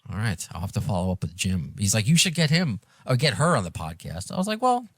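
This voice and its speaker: A man's voice: on the low side, huge pitch swings, quick pace, breathy edge, no echo, studio quality. A man with a New York accent hosting a podcast, chatting casually in a rambling, self-deprecating way. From Long Island and Brooklyn, New York. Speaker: podcast host. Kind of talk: casual interview chat.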